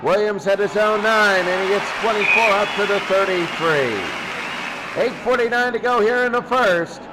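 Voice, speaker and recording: A man's voice: high-pitched, moderate pace, a loud crowd, very noisy. A man doing football play-by-play as the announcer, often repeating his phrases. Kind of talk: amateur football play-by-play commentary.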